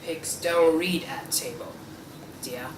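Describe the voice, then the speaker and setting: A woman's voice: sits low, slow pace. A young woman in a play table read, playing a mild, henpecked husband with restrained, mock-polite manners. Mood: mild, spiteful. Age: young adult. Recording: echoey room, distant mic, clear noise.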